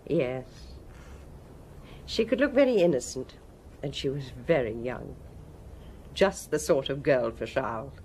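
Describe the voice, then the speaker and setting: A woman's voice: extremely low pitch, lively intonation, a little slow, pauses often, a little mellow, slight background noise, heavily acted. A woman playing a shrewd, knowing, middle-aged nightclub owner in a radio detective drama. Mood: wry, curious. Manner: knowing, inquisitive. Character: shrewd, worldly fifty-year-old Parisian nightclub madame.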